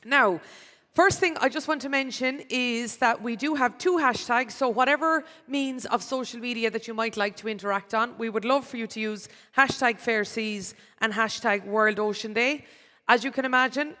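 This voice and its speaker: A woman with a slightly high voice, hosting a conference in a chatty, friendly way. She speaks into a stage microphone, and the sound rings with the long reverb of a large hall. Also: pushed and bright. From Cork, Ireland. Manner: chatty, friendly, with personal asides. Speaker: conference MC and radio presenter. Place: large city hall with an audience.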